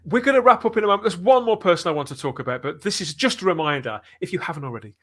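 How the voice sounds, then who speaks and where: A man's voice: high voice, animated, rapid-fire, fairly bright tone. A man hosting a live fan podcast, talking in an earnest, emphatic way. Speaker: podcast host.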